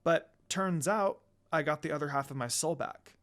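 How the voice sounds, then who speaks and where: A man's voice: on the high side, normal speed, a bit bright, close to an everyday voice. A man voicing a candid, friendly young heroine of a fantasy romance, frank and reassuring. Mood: resigned.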